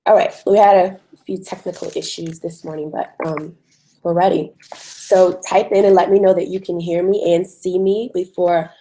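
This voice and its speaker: A woman with a hoarse voice from a cold, hosting a live online webinar in a conversational way with frequent ums. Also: lowish voice, steady tone, moderate pace, mellow, slight background noise, rough recording. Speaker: webinar host.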